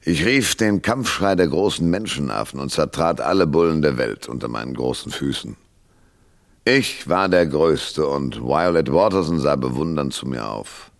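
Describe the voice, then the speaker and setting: Battered private eye, low voice, dry sardonic delivery, laconic noir crime-drama style. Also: male voice, animated, moderate pace, gravelly, exaggerated voice. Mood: brooding, laconic. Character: hard-boiled, battered, wisecracking male private detective narrator. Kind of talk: noir radio crime drama, first-person narration and dialogue.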